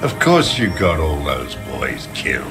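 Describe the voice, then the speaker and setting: An actor playing a bitter, sickly old communist deserter in a video game, scornful and ranting. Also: male voice, a bass voice, sing-song, measured pace, over music, full character voice. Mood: mocking. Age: older. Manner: scornful, ranting, spitting insults. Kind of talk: dramatic video game voice acting.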